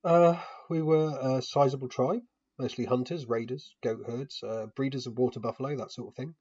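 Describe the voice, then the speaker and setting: A man's voice: medium pitch, steady tone, moderate pace, darkish tone, husky, highs cut off, voice pushed up, lightly acted. A man playing a modest tribesman warrior far from home, speaking awkwardly and hesitantly in a fantasy audio story.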